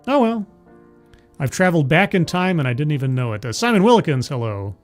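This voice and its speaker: A man's voice: fairly high pitch, big pitch swings, a little fast, faint music behind. A man in his forties hosting a livestream, chatting casually with viewers and laughing at himself. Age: 40s. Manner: rambling, self-deprecating, laughs often. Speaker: livestream host. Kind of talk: casual chat with live chat.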